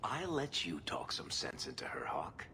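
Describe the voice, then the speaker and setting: Deep male voice, wry easygoing game rogue, dry teasing, lively intonation, reverberant recording. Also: moderate pace, whispered, full character voice. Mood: coaxing. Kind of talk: video game cutscene acting. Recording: long reverb, clear background noise.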